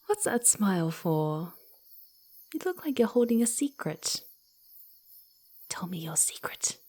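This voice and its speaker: A woman's voice: medium pitch, very animated, slow, warm tone, breathy edge, full character voice. A woman voicing a playful, mischievous young female fox spirit in an ASMR roleplay, with a cutesy, giggly, teasing manner. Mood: curious, puzzled.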